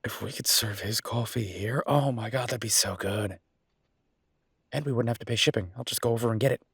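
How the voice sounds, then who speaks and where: A man playing a casual, affectionate chef boyfriend in a roleplay audio, talking informally and a bit haltingly. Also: medium pitch, a bit bright, breathy edge, dry recording, clean studio sound. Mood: awed, excited.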